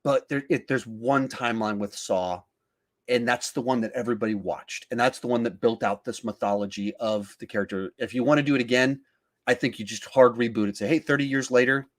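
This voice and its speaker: A man's voice: mid-range voice, fairly even intonation, fairly quick. Man chatting casually, rambling as he thinks. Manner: rambling, thinking aloud with stammers and repeated words. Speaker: podcast host. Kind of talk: casual film discussion.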